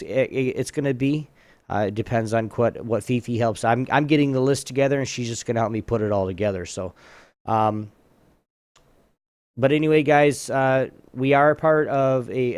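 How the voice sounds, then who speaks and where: A man's voice: medium pitch, a little mellow, dry recording. A man hosting a podcast in a casual, rambling, laid-back and informal way. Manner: informal, laid-back, loses train of thought. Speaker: podcast host.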